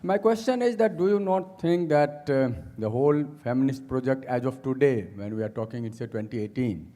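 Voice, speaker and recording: A man's voice: mid-range voice, fairly quick, mellow, big-room sound. Man asking a long question. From India. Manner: earnest, long-winded, searching for words. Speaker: audience member asking a question.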